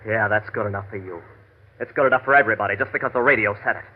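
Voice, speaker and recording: An actor playing a suspicious, menacing western drifter in an old radio drama, rough, folksy and wary. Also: male voice, lowish voice, racing. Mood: defensive. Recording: noisy, tinny vintage radio recording.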